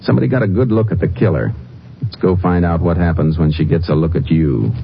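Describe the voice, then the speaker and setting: An actor playing a calm, firm Texas Ranger investigator in a 1950s radio crime drama, terse and matter-of-fact. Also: male voice, low-pitched, fast talker, gravelly. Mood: firm, commanding. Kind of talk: 1950s radio crime drama acting and first-person narration. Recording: muffled old-time radio recording, music behind.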